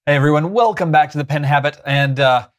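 A man's voice: fairly high pitch, quick pace. A man in his thirties giving a casual, chatty product review to camera, explaining as he goes, recorded on a lapel mic indoors. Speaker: host of a pen review show. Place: small furnished room indoors.

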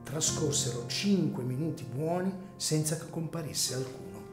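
A man narrating a dramatic story from a script in a measured, solemn, literary manner. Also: on the high side, lively intonation. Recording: echoey room, music underneath.

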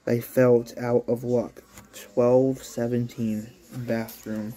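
A man reading a story aloud in a halting, casual way with sweary asides, like an amateur read-along. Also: medium pitch, flat delivery, noisy background. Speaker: amateur reader of own anime story.

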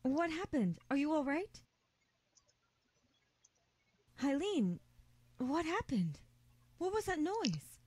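Woman acting a caring, lively-voiced young woman. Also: high-pitched, a little slow, dry recording. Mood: concerned. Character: caring young woman, gently fussing and concerned. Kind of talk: visual novel voice acting.

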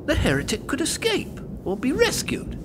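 A man's voice: very high voice, wildly swooping pitch, normal speed, noisy background, exaggerated voice. A man playing a cold, imperious medieval bishop who threatens and commands in an audio drama. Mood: menacing. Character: menacing, domineering medieval bishop acting as judge.

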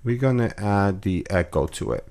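A man calmly walking through audio editing steps in a screen-recorded tutorial, with casual fillers. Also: sits low, normal speed. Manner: relaxed step-by-step explaining with fillers. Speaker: music production tutor.

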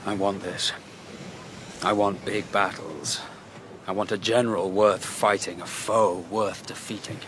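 A man's voice: sits low, steady tone, unhurried, a bit bright, a little hiss or hum. An actor playing a bold, devout crusader king in a historical radio drama, regal and assertive in manner. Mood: confident.

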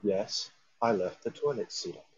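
Man reading an earnest father, husky voice. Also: on the low side, expressive, normal speed. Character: earnest father recording a message for his child. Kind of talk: screenplay table read. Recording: dull online voice-chat audio.

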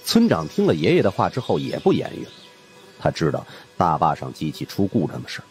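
A man with a very low voice narrates a supernatural mystery novel. His intonation is lively and varied, in the animated manner of a storyteller. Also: a little fast, faint music behind. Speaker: audiobook narrator.

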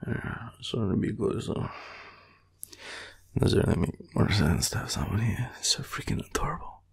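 A tired young Australian man murmuring an affectionate, rambling boyfriend roleplay in a deep, gravelly, breathy voice. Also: very animated, normal speed, in a whisper. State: tired, sleepy. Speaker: boyfriend roleplay audio performer. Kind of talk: intimate sleepy roleplay, ASMR-like rambling.

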